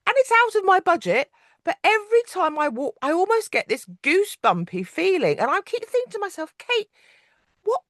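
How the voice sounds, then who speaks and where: Lively, chatty woman podcast host. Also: very high voice, normal speed, dry recording, clean studio sound. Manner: chatty, rambling, trails off mid-thought. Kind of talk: casual podcast interview.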